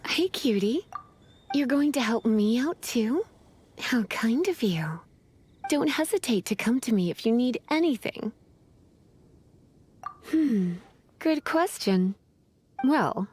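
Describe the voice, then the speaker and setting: An actress playing a flirtatious, languid sorceress in a video game, with a playful, drawling delivery. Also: female voice, fairly high pitch, very animated, slow pace, long pauses, darkish tone, a little hiss or hum. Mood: flirty, coy. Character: flirtatious, teasing, languid sorceress, a grown woman. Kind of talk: video game character acting.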